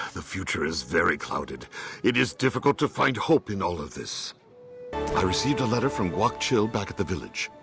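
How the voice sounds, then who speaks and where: A man's voice: on the low side, a bit bright. A man playing a solemn, careworn martial arts mentor in a video game, grave and thoughtful. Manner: grave, thoughtful, measured phrasing. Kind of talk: video game character acting.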